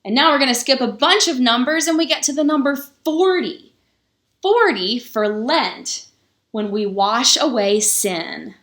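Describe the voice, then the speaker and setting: A woman pastor reading a children's book aloud for story time, pausing to explain things simply to young listeners. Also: sits high, animated, a bit bright. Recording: roomy, echoing sound.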